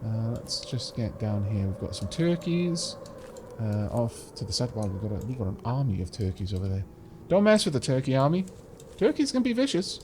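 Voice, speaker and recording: A man hosting a let's play, chatting casually and explaining game mechanics with a slight rumble in his voice. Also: very animated, moderate pace. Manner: chatty, explanatory, frequent restarts and fillers. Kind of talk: casual gameplay commentary.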